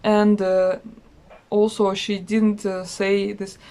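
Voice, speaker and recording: A woman's voice: mid-range voice, level tone, slow, dark tone. Chatty woman with a Russian accent. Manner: chatty, hesitant with many fillers. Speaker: travel vlogger. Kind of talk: casual travel vlog.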